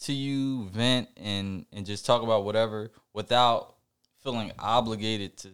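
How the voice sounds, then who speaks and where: A young man co-hosting a podcast, talking in a reflective, halting way with many fillers and restarts. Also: lowish voice, fairly even intonation, fairly bright tone, clean tone. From Puerto Rican family background. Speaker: podcast co-host. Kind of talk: casual podcast chat.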